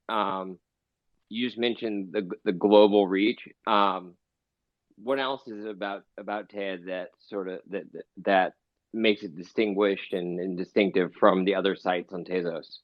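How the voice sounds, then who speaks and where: Low-voiced man on phone-like audio. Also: no echo. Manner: halting, repeats words as he forms questions. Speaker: interviewer. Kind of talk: casual interview questions. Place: live online audio group chat. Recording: thin phone-like online audio.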